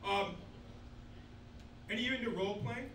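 A man's voice: extremely high pitch, moderate pace. A man in his sixties doing a crude, self-deprecating stand-up set on a small club stage, holding a mic. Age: 60s. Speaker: stand-up comedian. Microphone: handheld mic, filmed from far back. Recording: echoey club, distant camera, noisy.

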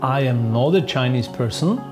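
A man in his fifties with a German accent, talking calmly and reflectively about tea in a documentary. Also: medium pitch, over music. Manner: calm, unhurried, reflective. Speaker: tea master. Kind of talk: documentary narration and on-location talk.

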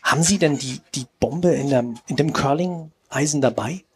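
A man's voice: on the high side, normal speed, natural voice. A man playing an urgent KGB officer giving secret orders in a live radio play. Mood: stern. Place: outdoor festival stage.

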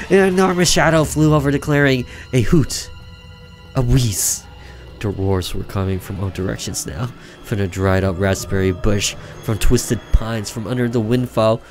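A man reading a visual novel aloud as a gaming host, dramatic and theatrical, sometimes stumbling and rereading. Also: on the high side. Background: game music.

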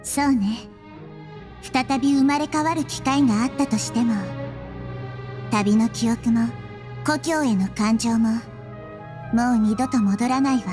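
A woman voicing a young-looking, wise goddess who speaks softly and tenderly, as a game character. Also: fairly high pitch, unhurried, long pauses, lightly acted. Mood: gentle. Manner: soft, tender, reassuring. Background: game music.

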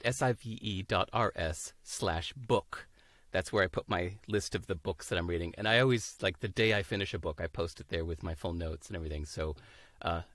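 Guest, fifties, American man, lowish voice, muses aloud on a dry video call. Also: a bit bright, no echo. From United States. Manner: thoughtful, searching for words, laughs easily. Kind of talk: casual interview chat. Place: home room, indoors.

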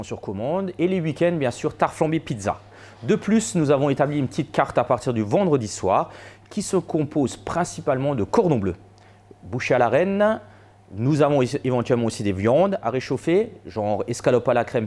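A man's voice: medium pitch, darkish tone. A man in his forties, a restaurant owner, plainly listing menus and delivery options in a local TV interview outdoors. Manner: listing menu items and phone details plainly.

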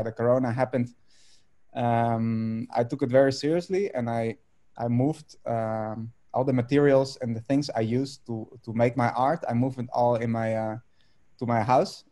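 A man's voice: medium pitch, steady tone, moderate pace, warm tone. A man in his thirties from the Netherlands, a native Dutch speaker talking in English on a video call from his home studio, hesitant with many fillers and restarts. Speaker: artist guest.

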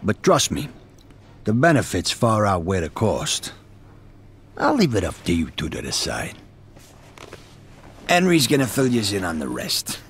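An actor playing a loud, middle-aged American mobster with an East Coast city accent, husky, chummy but menacing. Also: male voice, lowish voice, wildly swooping pitch, unhurried, pauses often, a little hiss or hum, exaggerated voice. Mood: firm, businesslike. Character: loud, profane middle-aged male mobster recruiting killers.

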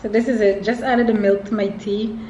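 A young woman talks to camera in a casual vlog style. Her voice sits at a medium pitch and her delivery is informal, like addressing followers directly. Some background noise is audible. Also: steady tone, normal speed, dark tone, roomy sound, low-quality recording. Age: young adult. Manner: informal, addressing viewers as y'all. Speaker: vlogger.

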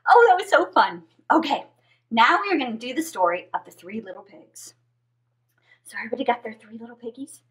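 A woman's voice: fairly high pitch, big pitch swings, a little fast, a bit bright. A woman in her thirties hosting a children's story time, telling tales with exaggerated expression. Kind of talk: animated storytelling for young children.

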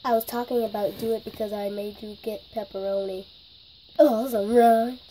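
A woman's voice: mid-range voice, steady tone, moderate pace, dark tone, a little hiss or hum, rough recording. A girl joining a toy shopping scene with short, eager replies. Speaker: toy-play partner. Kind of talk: improvised toy play-acting.

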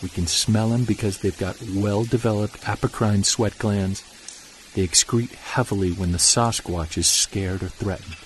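A man acting as a commanding wilderness monster hunter in an audio drama. His voice sits fairly low, terse and urgent, giving orders with tense authority. Clear outdoor ambience runs behind him. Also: steady tone, measured pace, slight background noise, natural voice, rough recording. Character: tense, commanding male Bigfoot hunter in the woods.

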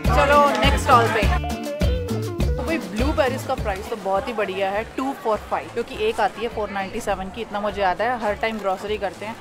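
A woman's voice: mid-range voice, a little fast. A young woman vlogging at an outdoor farmers market, chatting with viewers and mixing in English as she holds the camera at arm's length. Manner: chatty, addresses viewers as "bhai", mixes English in. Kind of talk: casual vlog chat. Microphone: handheld camera at arm's length. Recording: loud music and crowd around.

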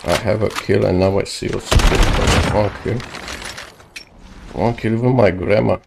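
A man's voice: on the low side, steady tone, normal speed, vocal fry. A man teasing and bantering with a teammate in game voice chat. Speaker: player in online match. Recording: loud game noise behind voice.

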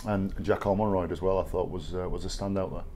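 A middle-aged English man speaks in a very low, mellow voice. He answers press questions in a measured, halting way, with plenty of fillers and restarts. A faint hiss sits under his voice in a small press room. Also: steady tone. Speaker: rugby league head coach. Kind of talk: post-match press conference answers. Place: small press room with sponsor backdrop, seated at table. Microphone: table microphone.

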